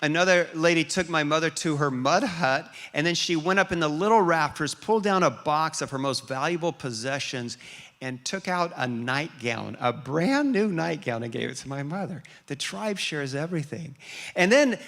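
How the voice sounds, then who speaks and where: A man's voice: fairly high pitch, normal speed. A man who grew up in Liberia as an American, preaching a storytelling sermon with frequent restarts. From grew up in Liberia, West Africa, as an American. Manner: storytelling, animated, with repeated restarts. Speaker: pastor.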